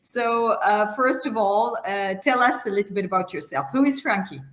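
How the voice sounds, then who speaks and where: A woman hosting a semi-formal online interview, speaking haltingly with frequent hesitant fillers. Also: mid-range voice. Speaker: interview host. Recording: roomy, echoing sound.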